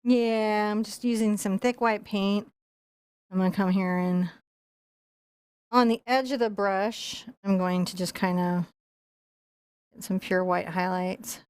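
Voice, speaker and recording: A woman's voice: medium pitch, fairly even intonation, slow, pauses often, darkish tone, smooth, no echo. A woman giving a live painting lesson, chatting and laughing while she explains each brushstroke. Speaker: painting instructor.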